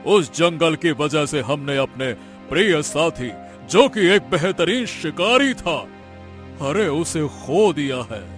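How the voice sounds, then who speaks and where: A man playing a guilt-ridden, sorrowful king in an animated story, speaking in a regal, lamenting manner. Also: a little high, slow pace, faint music behind. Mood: grieving.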